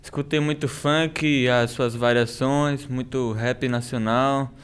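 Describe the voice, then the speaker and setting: A young man from Cuba, a music student, talking in a relaxed way with small restarts. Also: mid-range voice, slow, clear, resonant tone. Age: young adult.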